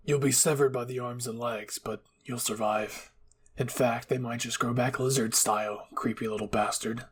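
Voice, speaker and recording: A man voicing a stern, sardonic military captain in a fan fiction reading, curt and deadpan. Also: mid-range voice, normal speed, husky, lightly acted. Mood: cold, sardonic.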